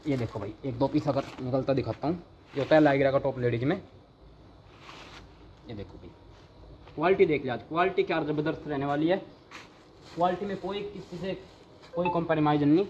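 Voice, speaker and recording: A man's voice: fairly high pitch, a little mellow, highs cut off, a little hiss or hum. Eager young man giving a sales walkthrough. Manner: eager salesman patter, repeatedly urging viewers to look. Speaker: vlogger showing wholesale clothing stock. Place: large indoor warehouse full of clothing bales.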